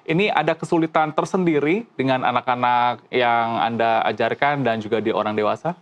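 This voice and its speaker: A man's voice: medium pitch, fairly quick, dull audio. A man conducting a broadcast interview, asking questions in a polite, formal manner as a TV news presenter.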